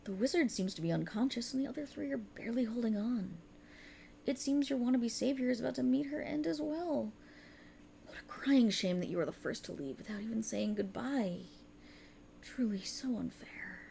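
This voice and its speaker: Woman playing a high, creaky, cruel otherworldly patron. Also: animated, mellow, slight background noise. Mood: scornful, condescending. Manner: theatrical, teasing, condescending, with drawn-out words. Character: sultry, cruel, mocking otherworldly warlock patron, gloating over a dying servant. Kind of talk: ASMR roleplay monologue.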